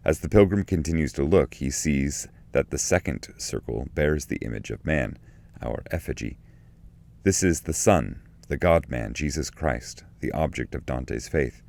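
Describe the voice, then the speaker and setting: Very deep, creaky-voiced man reading literary commentary. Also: darkish tone. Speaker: narrator and translator reading his edition.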